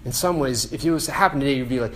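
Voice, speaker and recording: Casual, animated man preaching a sermon. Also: mid-range voice, very fast, a little hiss or hum. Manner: conversational, animated, frequent "like" and "right?".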